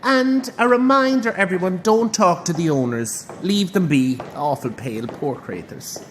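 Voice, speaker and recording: Actress as droll Irish female guide, lowish voice, lively pitch, tour patter, faint ambience. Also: moderate pace, a little hiss or hum, natural voice.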